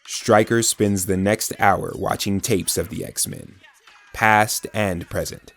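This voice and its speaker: A man narrating an audio drama as a grave storyteller, with deliberate, dramatic scripted delivery. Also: lowish voice, steady tone. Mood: neutral, scene-setting. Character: grave, omniscient male storyteller.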